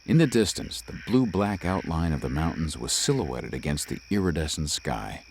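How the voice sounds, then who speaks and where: A man voicing a young, composed city lawyer who narrates his own ghost story in a measured, slightly formal way. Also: rumbling low, expressive, darkish tone, slight background noise, poor audio. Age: young adult. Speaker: narrator and lead. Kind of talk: first-person audio drama narration.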